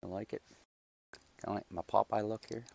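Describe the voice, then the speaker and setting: A man with a deep, gravelly voice that is a bit raspy after a loud night out. He chats in a loose, self-deprecating way, wandering from thought to thought. He is recorded on a lapel mic outdoors in a backyard garden. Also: fairly even intonation, a little fast, no echo. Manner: rambling, self-deprecating, chatty. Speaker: gardening vlogger. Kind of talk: casual chat while doing garden chores.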